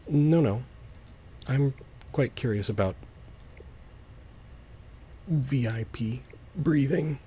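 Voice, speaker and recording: A man's voice: mid-range voice, very slow, pauses often, dark tone, slight background noise. A man giving casual gameplay commentary, thinking aloud in a whimsical, musing way. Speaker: let's player, host.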